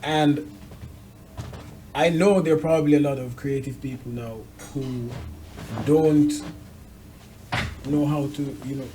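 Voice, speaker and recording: Mid-pitched host in casual interview. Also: fairly even intonation, slow pace, long pauses, dark tone, low-quality recording.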